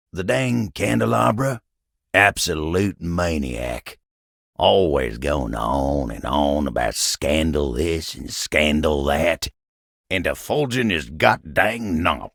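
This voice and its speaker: An actor voicing a bashful, folksy, old-fashioned talking table in a video game, with a gravelly voice and an American Southern, Western-style drawl. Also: male voice, deep voice, a bit bright, no room sound, studio quality, heavily acted. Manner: folksy, rambling, flustered hesitations. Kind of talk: video game character dialogue.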